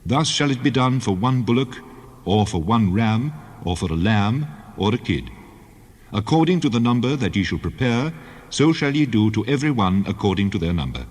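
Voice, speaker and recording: Man as solemn low-voiced God in vast reverb. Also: big pitch swings, darkish tone, husky. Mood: solemn, instructing. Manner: solemn, formal, measured. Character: God speaking law and judgement, solemn and commanding. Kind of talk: dramatized scripture reading. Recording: long booming reverb.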